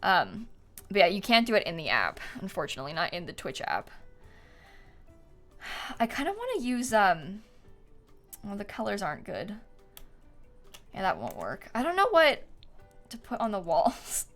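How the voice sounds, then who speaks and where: A young woman live-streaming a game, talking to her chat in an informal, giggly way. Her medium-pitched voice moves up and down in an animated way. Music plays behind her. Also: moderate pace, pauses often. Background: music, typing.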